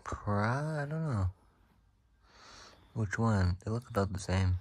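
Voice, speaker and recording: A man co-hosting a casual, hands-on gadget review in a halting, tentative, informal way. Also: fairly low pitch, animated, darkish tone, slightly rough. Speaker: radio reviewer, co-host. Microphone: phone filming close by. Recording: noisy amateur phone recording with static.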